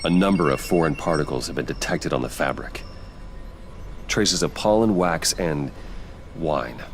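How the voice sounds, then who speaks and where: Stern masked detective, very deep, measured, controlled, video game drama, faint background rumble. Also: male voice, lively intonation. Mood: analytical. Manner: grave, measured, terse. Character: brooding masked vigilante detective, stern, controlled grown man.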